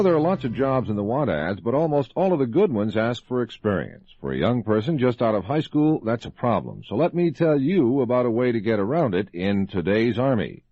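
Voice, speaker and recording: A man's voice: medium pitch, no room sound. An American man working as a radio announcer, reading scripted broadcast copy on a dull vintage radio recording with music.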